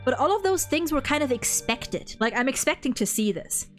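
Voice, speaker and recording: A woman's voice: sits high, big pitch swings, normal speed, faint music behind. A woman host talking casually about a video game, rambling and repeating words as she goes, with the odd casual swear.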